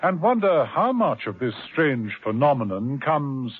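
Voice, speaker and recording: Lively male radio drama narrator, thin sound. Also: medium pitch, unhurried, slightly rough, narrow, tinny audio. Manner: dramatic, wry storytelling. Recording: thin old radio recording with music.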